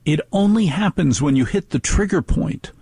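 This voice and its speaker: A man's voice: fairly high pitch, lively intonation, moderate pace, warm tone, husky, dry recording, clean studio sound. A man hosting a radio talk show, ranting in a rambling monologue and mocking others in put-on voices.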